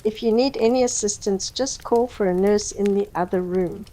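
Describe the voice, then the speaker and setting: A kindly hospital matron at a school, played by a woman in an audio roleplay. She is soft-spoken, gentle and reassuring, with a medium-pitched voice. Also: normal speed, natural voice, rough recording.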